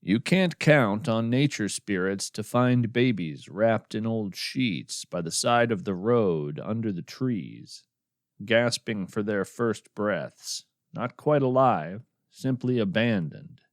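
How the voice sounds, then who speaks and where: A man playing a wry, musing naturalist who hosts a radio show of the uncanny, narrating in a measured, contemplative, folksy way. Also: on the low side, lively intonation, no echo, studio quality. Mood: solemn. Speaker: podcast host and narrator. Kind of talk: scripted narration, reflective storytelling with poetry reading.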